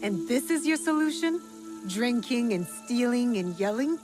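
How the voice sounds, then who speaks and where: A woman playing a no-nonsense, sharp-tongued young fighter with firm principles in a video game cutscene, dry and curt. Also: on the high side, lively intonation, unhurried. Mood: dry, scornful. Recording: music behind the voice.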